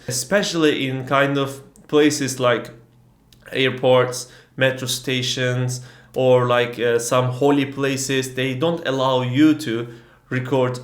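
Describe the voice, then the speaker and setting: Young Turkish travel vlogger, non-native English, filler-heavy storytelling, roomy echo. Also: male voice, medium pitch. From Turkey. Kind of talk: casual storytelling vlog.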